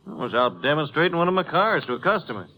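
An actor playing a big, irritated used-car dealer with an American accent, defensive and folksy, in a 1950s radio drama. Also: male voice, fairly high pitch, sing-song, quick pace, pushed and bright, rough recording. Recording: vintage 1950s radio broadcast recording.

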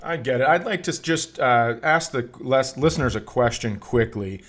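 A man with an average-pitched voice co-hosts a casual comedy podcast. His delivery is dry and teasing, in the manner of easy banter. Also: moderate pace, a bit bright. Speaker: podcast co-host.

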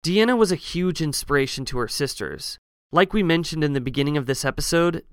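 A man co-hosting a true crime podcast, reading case details and listener shout-outs in a steady, informative way. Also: fairly high pitch, clean tone, no echo, clean studio sound. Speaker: podcast co-host.

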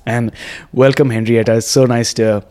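A man's voice: medium pitch, little pitch movement, moderate pace, dark tone. A man hosting an interview podcast, effusive in his wording and often tagging his sentences with "right?". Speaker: podcast host. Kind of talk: podcast interview with scripted introduction and casual chat. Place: quiet indoor room at a wellness space.

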